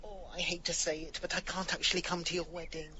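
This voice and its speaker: An actor playing an awkward, chatty, well-meaning younger English sidekick, hesitant and cheeky, in a radio comedy-drama. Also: male voice, high-pitched, little pitch movement, fast talker, lo-fi sound, a crowd in the background, close to an everyday voice. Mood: cheeky, apologetic.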